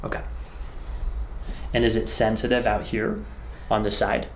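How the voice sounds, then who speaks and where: Reassuring male chiropractor over clear background noise. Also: lowish voice, level tone, a little slow. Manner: reassuring, explanatory, conversational. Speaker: chiropractor treating a patient on camera. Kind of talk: explaining treatment to a patient while working. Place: indoor treatment room. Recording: clear background noise, treatment room ambience.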